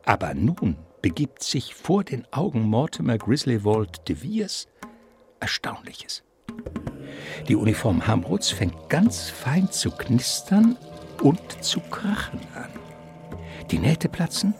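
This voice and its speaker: An actor narrating a mock gothic mystery radio play in a theatrical, savouring, suspenseful literary style. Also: male voice, medium pitch, big pitch swings, takes it slowly, music in the background.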